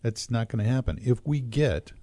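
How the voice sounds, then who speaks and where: A man co-hosting a retirement radio show, explaining pension plans and interest rates in a measured way with frequent fillers. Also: mid-range voice, lively intonation, mellow, no room sound. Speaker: financial advisor co-host. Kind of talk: radio talk show discussion.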